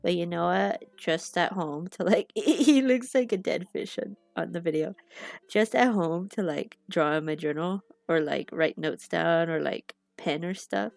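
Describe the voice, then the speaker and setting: A woman's voice: on the low side, a little slow, gravelly, no echo. A young woman streaming from home on a headset mic, chatting in a rambling way with lots of fillers and sounding a little sniffly from allergies. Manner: chatty, rambling, loses her train of thought with many fillers. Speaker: art streamer. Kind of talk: casual rambling livestream chat.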